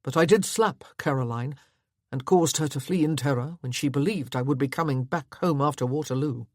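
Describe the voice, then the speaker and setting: A woman voicing a blunt, war-hardened male army officer in a storybook reading, clipped and forceful. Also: extremely low pitch, brisk, no echo, studio quality. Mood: stern.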